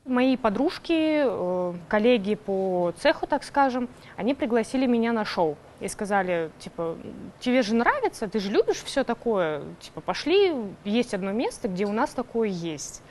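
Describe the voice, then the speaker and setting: A young woman in her mid-twenties from Kazakhstan speaks in a somewhat high, bright-toned voice. She recounts an anecdote with lively, animated delivery during a documentary interview. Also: moderate pace, few pauses, a little hiss or hum. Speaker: drag performer.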